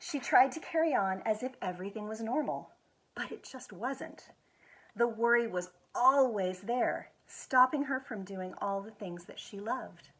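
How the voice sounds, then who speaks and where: An American woman with a husky voice reads a children's picture book aloud expressively, stretching words for emphasis. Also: a little high, low-quality recording. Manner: expressive, with drawn-out emphasis and quiet asides to show pictures. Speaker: executive director of a schools foundation, guest reader.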